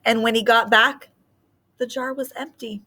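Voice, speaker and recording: A woman's voice: on the high side, steady tone, measured pace, pushed and bright. A woman speaking as a teacher leading a children's read-aloud, explaining simply and reading expressively.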